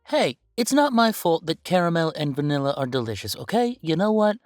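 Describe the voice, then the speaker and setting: Animated, chatty werewolf boyfriend played by a man. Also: a little high, a little fast, dry recording, clean studio sound, soft background music. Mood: playfully defensive. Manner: chatty, teasing, mock-defensive, emphatic. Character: playful, easygoing young male werewolf boyfriend. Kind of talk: casual boyfriend roleplay audio, one-sided conversation.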